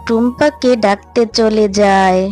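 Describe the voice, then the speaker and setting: A woman's voice: medium pitch, measured pace, a little mellow. A woman narrating a scripted cartoon fairy tale as a storyteller. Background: background music.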